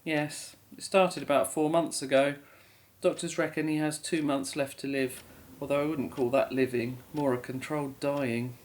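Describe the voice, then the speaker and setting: A woman's voice: low voice, steady tone, moderate pace, a little mellow, lightly acted. An actress playing a weary, remorseful middle-aged mother in an audio drama, speaking in a grave, resigned way. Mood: subdued.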